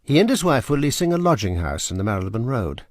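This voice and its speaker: Grave, lively-toned male narrator. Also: medium pitch, dry recording, clean studio sound. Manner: measured, grave storytelling. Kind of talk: scripted radio drama narration.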